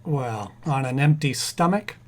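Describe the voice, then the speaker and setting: A man's voice: mid-range voice, expressive, unhurried, darkish tone, close to an everyday voice. A man playing a distracted, mild-mannered husband in a 1940s-style radio comedy, hesitant and stammering. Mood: mild, agreeable. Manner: hesitant, stammering, absent-minded.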